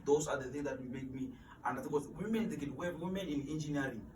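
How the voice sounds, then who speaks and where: A man's voice: medium pitch, very fast, a little mellow. Man in his twenties, earnest interview guest, stumbles and repeats words, roomy echo, mic set back. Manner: earnest, repeats words when searching for them. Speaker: interview guest, student mentor. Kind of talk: motivational interview talk. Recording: echoey room, distant mic, faint noise.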